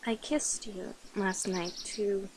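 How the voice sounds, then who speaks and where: A woman playing a shy, playful and protective centuries-old vampire in an intimate ASMR roleplay, speaking softly and hesitantly. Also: mid-range voice, steady tone, takes it slowly, background noise, natural voice. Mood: serious, explaining. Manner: hesitant, soft-spoken, breathy whispers, nervous giggles. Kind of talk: ASMR roleplay, intimate one-sided dialogue.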